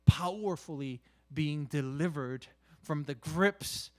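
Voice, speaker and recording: Slow-paced male preacher, higher-pitched voice. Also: a bit bright. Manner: halting, with repeated "you know" and rhetorical questions. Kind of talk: conversational sermon.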